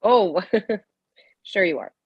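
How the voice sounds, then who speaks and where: A woman plays a chatty middle-aged mother in a comedy table read. Her voice is husky and unclear at the edges, and she delivers judgment in a breezy, needling way. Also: fairly low pitch, lively intonation, extremely slow, highs cut off, exaggerated voice. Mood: blithely needling.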